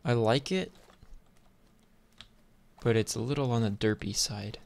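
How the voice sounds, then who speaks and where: A man's voice: medium pitch, measured pace, gravelly, a little mellow. A man giving casual gameplay commentary in a laid-back, hesitant way, thinking aloud as he goes. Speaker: gaming YouTuber. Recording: noisy mic, clicks and typing.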